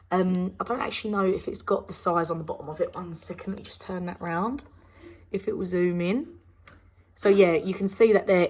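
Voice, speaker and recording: A woman from the UK with a British accent, chatting casually through a product review, her voice slightly croaky after laryngitis. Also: mid-range voice. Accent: British English. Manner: chatty, rambling with many ums. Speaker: candle reviewer.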